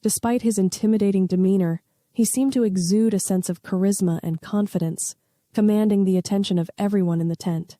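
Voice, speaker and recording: A woman's voice: medium pitch, dark tone, no room sound, clean studio sound. A woman reading a scripted story aloud as its narrator.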